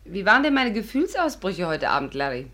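A woman's voice: medium pitch, big pitch swings, a little fast, sharp tone. A woman playing a witty, sceptical, spirited young stage actress in a 1950s German radio drama. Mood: sceptical, teasing.

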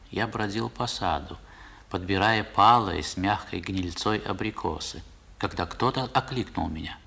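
An actor narrating a 1960s radio drama as a man recalling his boyhood, in an expressive literary style. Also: sits low, vocal fry, full character voice. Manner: expressive, theatrical storytelling. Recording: vintage 1960s radio recording.

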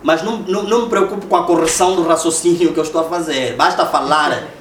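A man's voice: on the high side, fast talker. A man with an Angolan Portuguese accent giving a public lecture in a conversational way, often ending points with rhetorical tag questions. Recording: echoey room, distant mic, noisy.

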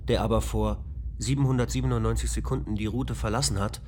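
A man voicing a calm male ship computer in a radio play, reporting in a flat, precise, machine-like way. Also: on the low side, fast talker, slight background noise, lightly acted.

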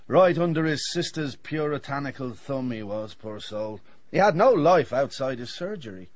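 An actor playing a flippant, sardonic dentist with a roguish streak in a radio drama. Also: male voice, medium pitch, expressive, moderate pace, dry recording, close to an everyday voice. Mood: wry, teasing. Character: wry, roguish, hard-drinking male dentist.

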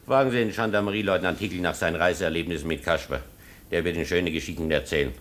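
An actor playing a stern, proud baron and guardian in a German radio play, speaking formally with dry disapproval. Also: male voice, low-pitched, quick pace, fairly bright tone. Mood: irritated.

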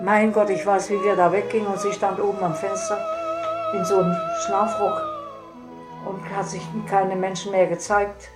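A woman's voice: mid-range voice, big pitch swings, moderate pace, over loud music, poor audio. An older woman reminiscing wistfully in a personal, conversational way.

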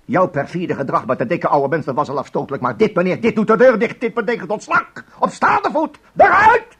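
An actor playing a furious, bullying broadcasting boss in a Dutch radio drama, indignant and theatrical. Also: male voice, sits high, very animated, brisk, a bit bright, a little hiss or hum, heavily acted. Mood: outraged. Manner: theatrical, indignant, scornful, reading out with biting emphasis.

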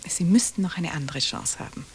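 A woman's voice: fairly low pitch, a little fast, whispered, natural voice. An actress playing an elegant, discreet society lady in a German radio play, poised, refined and formal. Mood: discreet, warning. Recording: hissy vintage radio drama recording.

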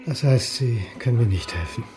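An actor playing a weary, reserved historian turned reluctant detective, terse and dry, in a naturalistic radio play. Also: male voice, mid-range voice, animated, moderate pace, music in the background, close to an everyday voice. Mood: probing.

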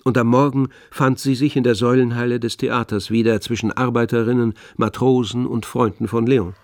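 A man narrating a literary radio play from a script in a measured, composed way. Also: mid-range voice, flat delivery, no echo, clean studio sound.

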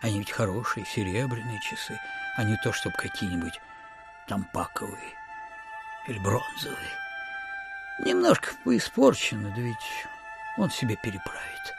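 A low-pitched, miserly old landowner mutters and hesitates as he reasons with himself. It is audio drama acting, with music clearly behind the voice. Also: very animated, normal speed, long pauses. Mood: musing, grudging.

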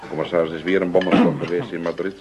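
A chatty, obliging waiter played by a male radio actor with a low, deep voice. He is servile and gossipy in manner, a small character part in a radio play. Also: little pitch movement, very fast, muffled recording, over loud music, natural voice.

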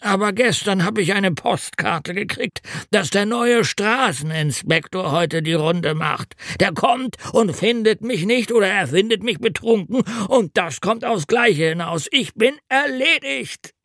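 A man's voice: high voice, unhurried, few pauses, fairly bright tone, no room sound, studio quality, voice pushed up. A man voicing a drunk, sick older road worker who groans, wails and complains in slurred speech. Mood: groaning. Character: hungover, whining older male road worker.

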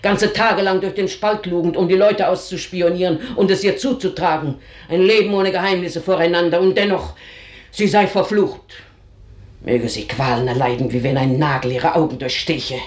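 Woman acting older female servant, earthy, scornful, bitter gossip, expressive radio drama delivery, roomy echo. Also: on the low side, normal speed, lightly acted. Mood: bitter cursing. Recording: roomy, echoing radio drama studio sound.

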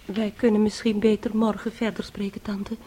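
An actress playing a weary, polite young niece in a radio play, subdued and husky. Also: female voice, medium pitch, little pitch movement, moderate pace, mellow, natural voice. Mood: exhausted, troubled. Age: young adult.

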